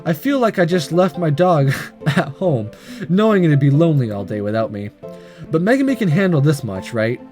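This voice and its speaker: A man's voice: a little high, big pitch swings, normal speed, darkish tone, soft background music. A man hosting a gaming video, reading a visual novel aloud with casual comments and stumbling over the odd line. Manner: stumbles and rereads lines.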